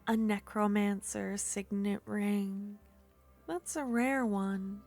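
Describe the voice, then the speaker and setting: A woman performing an ASMR roleplay as a jealous, possessive witch, speaking softly and often whispering. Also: medium pitch, steady tone, takes it slowly, faint music behind. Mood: teasing. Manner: soft-spoken, teasing, often whispering. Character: jealous possessive young witch, teasing and haughty.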